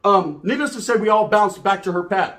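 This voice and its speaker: High-pitched American man telling bawdy stories. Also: a little fast. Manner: slangy, animated, acts out dialogue, checks in with "right?". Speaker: storyteller, channel host. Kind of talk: casual bawdy comedic storytelling.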